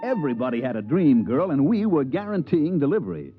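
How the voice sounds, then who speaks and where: An actor playing a fast-talking male swindler and promoter in a 1950s radio drama, who also narrates the story with slick salesman patter. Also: a little high, sing-song, faint music behind. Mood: narrating. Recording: dull vintage radio recording.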